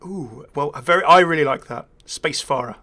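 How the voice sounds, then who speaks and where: A man's voice: fairly high pitch, fairly quick, pushed and bright. A man hosting a gaming video with casual, unscripted commentary. He is chatty and hesitant, thinking aloud and dropping wry asides.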